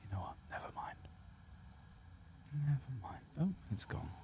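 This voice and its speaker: A man giving soft-spoken, often whispered ASMR commentary as he plays a video game, murmuring and musing aloud in an unhurried way. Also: mid-range voice, sing-song, creaky. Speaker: streamer playing a video game.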